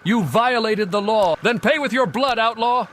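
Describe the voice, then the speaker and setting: A man's voice: high-pitched, normal speed, heavy background noise, lightly acted. A man playing a stern, threatening town guard who enforces the law in a video game. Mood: menacing. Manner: commanding, threatening. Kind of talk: video game character dialogue.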